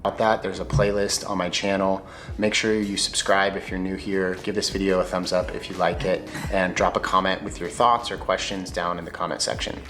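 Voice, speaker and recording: A young American man narrating his own vlog in a clear, steady presenter's delivery. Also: low voice, a little fast, soft background music. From United States. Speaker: YouTuber.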